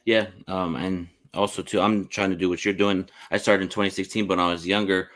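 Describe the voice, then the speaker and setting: Male podcast host, low and fairly level delivery, quick, informal and slangy, casual sports interview. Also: flat delivery, a bit bright. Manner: informal, slangy, repeats "man".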